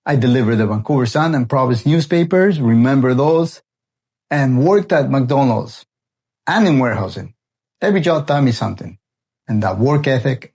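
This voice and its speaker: A man's voice: mid-range voice, darkish tone, husky. A man in his forties from El Salvador, a renovation company founder, introducing his channel in an earnest, friendly way.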